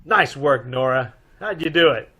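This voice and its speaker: A man playing a brisk, in-charge detective in an old-time radio comedy mystery, questioning in a businesslike way. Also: mid-range voice, animated, bright, forceful tone. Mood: pleased. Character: brisk, in-charge male detective, newlywed poser.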